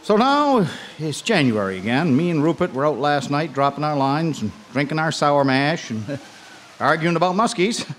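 A man's voice: on the high side, big pitch swings, moderate pace, fairly bright tone, a little hiss or hum. An actor playing a wry, folksy small-town ice fisherman narrating a ghost tale in a live radio drama. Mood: wry, storytelling.

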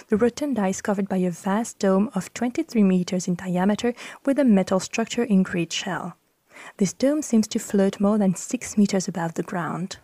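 Mellow-voiced woman reading aloud. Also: mid-range voice, no echo. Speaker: podcast host reading an architect's text. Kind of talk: scripted reading.

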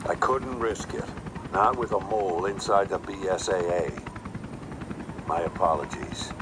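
An actor playing a weary, resolute older agency director in a video game cutscene. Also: male voice, deep voice, a little slow, noisy background. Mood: grave, resolute. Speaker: senior commander.

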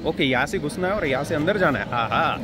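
A young man vlogging with a handheld camera at an outdoor cricket stadium, chatting excitedly to his viewers. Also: a little high, fast talker, loud music behind the voice. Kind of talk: casual walking vlog. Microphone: handheld action camera. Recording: noisy outdoor crowd ambience.

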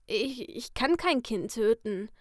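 Young gang woman, read by a woman, soft, pleading, quiet, dry close voice. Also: a little high, takes it slowly, no room sound.

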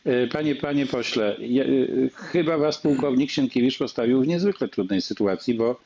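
A man hosting a political talk show, moderating the debate in a halting way and repeating words. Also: medium pitch, expressive, darkish tone, slight background noise. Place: indoors on a video call. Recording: dull, muffled video-call audio.

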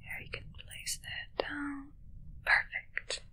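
A young woman playing a possessive, commanding witch in an ASMR roleplay, speaking in a soft breathy whisper with slow, deliberate phrasing. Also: natural voice. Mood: coaxing, fussing. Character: controlling witch grooming her familiar, possessive and commanding. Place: small dark draped indoor room. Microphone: close ASMR microphone.

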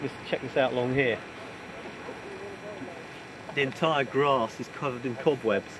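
A man's voice: mid-range voice, steady tone, unhurried. English man chatting over heavy wind noise. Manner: chatty, explaining to friends. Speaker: paraglider pilot filming. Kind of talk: casual chat. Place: windy clifftop outdoors. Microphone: camera mic.

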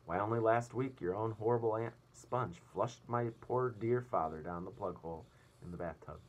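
A man's voice: lowish voice, moderate pace, voice pushed up, close to an everyday voice. A man voicing a decent, wronged female spider from a storybook, plaintive and earnest.

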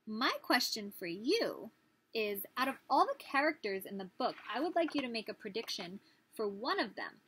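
A woman's voice: fairly high pitch, big pitch swings, moderate pace, fairly bright tone, a little hiss or hum. A woman in her thirties reading a picture book aloud as a teacher, expressively, putting questions to small children. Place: small living room, indoors.

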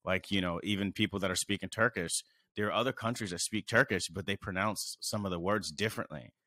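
A man's voice: low voice, a little fast, dry recording. A man in his thirties hosting a podcast in a small studio, chatting casually and rambling animatedly. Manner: animated, rambling, reacts with wows. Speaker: podcast host. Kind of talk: casual interview chat. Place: studio with dark curtain. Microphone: studio mic on stand.

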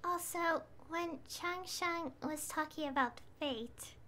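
A woman voicing a tiny, childlike fairy companion in a fantasy game, squeaky, curious and worried in her questioning. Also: takes it slowly, pushed and bright, a little hiss or hum, exaggerated voice. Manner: squeaky, wide-eyed questioning.

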